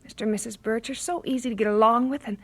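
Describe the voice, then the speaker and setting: A woman's voice: a little high, steady tone, quick pace, breathy edge. A woman playing a devoted, studious young missionary wife in a radio drama, with an American accent and a sweet, thoughtful manner.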